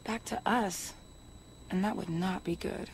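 A woman's voice: mid-range voice, steady tone, measured pace, gravelly, a little hiss or hum, rough recording. Young streetwise woman singer. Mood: anxious, pleading. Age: young adult. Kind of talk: video game cutscene acting.

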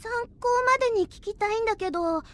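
A woman playing an excitable, easily flustered schoolgirl in an anime audio drama. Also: very high-pitched, fast. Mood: panicked stammering.

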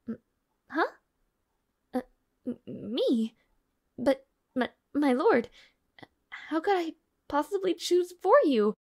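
A demure young maid, acted by a woman in an audio roleplay. Her voice sits fairly high with a creaky edge, and she speaks slowly. She addresses her lord formally and devotedly, with shy hesitation. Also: heavily acted. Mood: bright, flustered. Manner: polite, soft-spoken, flustered hesitations, formal diction.

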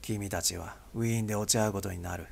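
A man acting a gravelly, grim spy boss. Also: lowish voice, fairly even intonation, quick pace, slight background noise, voice pushed down. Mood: somber. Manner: heavy, somber, terse. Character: grim, weary male spy boss, stern and commanding.

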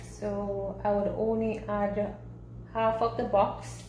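A woman talks through a recipe in a casual, instructional way, at a slow, easy pace. Her mid-pitched voice is picked up in an echoey home kitchen. She explains each step simply. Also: little pitch movement, a little mellow. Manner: unhurried, simple instructional talk. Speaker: home cooking vlogger. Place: small home kitchen, indoors. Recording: roomy echo, clear background noise.

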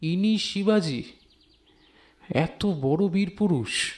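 A man's voice: sits high, animated, drawn-out words, heavily acted. A man playing an earnest, shy fifteen-year-old village schoolboy who speaks hesitantly and respectfully in an audio drama. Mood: disappointed. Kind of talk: dramatised audio story dialogue.

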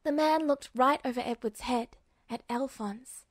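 A woman's voice: sits high, unhurried, no room sound. A woman reading a story aloud as a scripted fiction narrator. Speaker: audiobook narrator.

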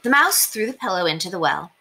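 A young woman reading a children's storybook aloud in an expressive, deliberate way. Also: lowish voice, background noise. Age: young adult. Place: small indoor room. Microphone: webcam at desk distance.

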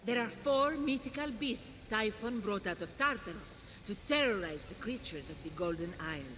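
An actress playing a vain, haughty, flirtatious love goddess in a game, theatrical and arch. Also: female voice, mid-range voice, expressive, moderate pace, fairly bright tone, a little hiss or hum, close to an everyday voice. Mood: gracious, haughty. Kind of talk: game character acting.

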